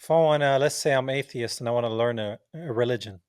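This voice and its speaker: A man's voice: medium pitch, quick pace, a little mellow, smooth, no room sound, clean studio sound. A man chatting informally as a podcast interviewer, often dropping in man and bro. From the Levant. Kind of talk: casual conversational interview.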